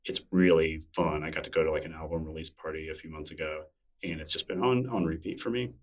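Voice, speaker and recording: Man, very low voice, rambling and eager, heard from a distance in a library room. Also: steady tone, quick pace. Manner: rambling, filler-heavy, enthusiastic. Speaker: interview guest. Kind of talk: casual conversation. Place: public library room with a conference nearby.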